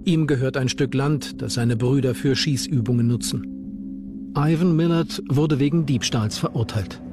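A man's voice: mid-range voice, measured pace. A man reading scripted documentary narration as the narrator. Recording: music under the voice. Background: background music.

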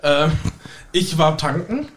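Higher-voiced man, podcast guest. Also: lively intonation, slow pace, a bit bright, a little hiss or hum. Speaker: guest magician. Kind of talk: casual podcast chat.

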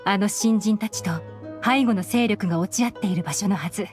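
An actress voicing a cool, shrewd young woman who runs an intelligence network in a video game, composed, deliberate and knowing. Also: medium pitch, close to an everyday voice. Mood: cool, analytical. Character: cool, shrewd female intelligence operative and boss. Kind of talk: Japanese video game voice acting. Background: background game music.

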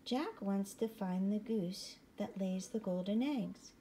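A woman reading a picture book aloud to young children, gently and often hushed, asking the listener questions as she goes. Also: mid-range voice, takes it slowly, slight background noise. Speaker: bedtime story reader.

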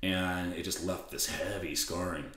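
Brief: deep raspy man, blunt, curses a lot, echoey room. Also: fairly even intonation, a little slow, sharp tone. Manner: blunt, swears freely, laughs easily. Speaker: podcast guest. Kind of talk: casual interview chat. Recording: roomy echo.

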